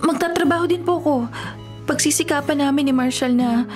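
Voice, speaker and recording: A woman's voice: high-pitched, fairly quick, lightly acted. A young woman with a Filipino accent playing an earnest, devoted daughter in an emotional radio drama. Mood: pleading, tearful. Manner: emotional, pleading and tender. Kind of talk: Tagalog radio drama acting. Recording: radio drama with music underneath.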